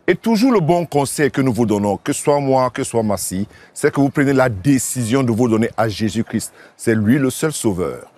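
Guy pastor on tv, really lively pitch, urging viewers, dramatic pauses. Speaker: pastor and TV co-host. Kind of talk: evangelistic presenting to viewers.

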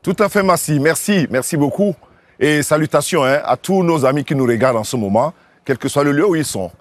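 A man, a pastor co-hosting a religious programme, exhorting viewers with dramatic pauses. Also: on the high side, animated, no room sound. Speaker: pastor and TV co-host. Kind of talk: evangelistic presenting to viewers.

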